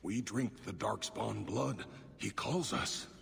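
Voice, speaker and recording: Gravelly, crazed dwarf cultist. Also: male voice, lowish voice, takes it slowly, a bit bright, in a large hall, exaggerated voice. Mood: fanatical. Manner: fervent, raving. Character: crazed, fanatical dwarf smuggler in thrall to a dark master. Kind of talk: video game voice acting.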